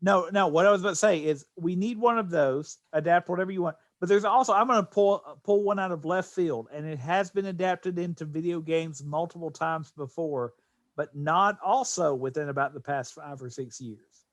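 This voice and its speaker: Male podcast co-host, slightly high register, enthusiastic and emphatic, casual chat, very dry close sound. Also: normal speed, no room sound. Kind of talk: casual podcast chat about games and films.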